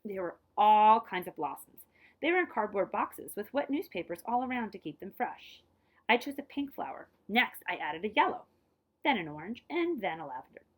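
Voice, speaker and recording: A woman reading a children's picture book aloud in an expressive, dramatized way, dropping to hushed phrase endings and adding short asides. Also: mid-range voice, normal speed.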